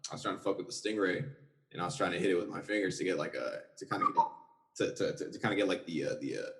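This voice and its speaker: A man with a low voice speaks fast as he hosts a casual conversation. His delivery is halting and repetitive, restarting phrases in quick, informal bursts. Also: animated. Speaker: podcast host. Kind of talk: casual chat.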